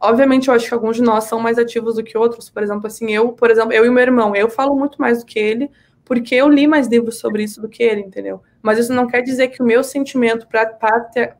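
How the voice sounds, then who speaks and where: Woman from south brazil, talks really fast, kinda high voice, chatty podcast guest, emphatic. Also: fairly even intonation. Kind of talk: casual, animated personal storytelling in conversation.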